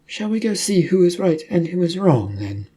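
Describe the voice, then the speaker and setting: A brisk female officer from a storybook, read by a man. The pitch sits somewhat raised, and the delivery is firm and commanding, with plain authority in each question. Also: sing-song, warm tone, slightly rough, exaggerated voice. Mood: challenging.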